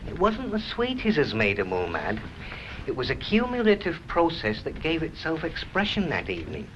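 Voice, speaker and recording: Blunt old worker bloke, pitch all over the place, folksy, dull 70s film sound. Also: male voice, huge pitch swings, moderate pace, fairly bright tone. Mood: reproachful. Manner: folksy yet wordy, plain-spoken explaining. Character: blunt old-fashioned confectionery worker reproaching the boss. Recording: muffled, hissy 1970s film soundtrack.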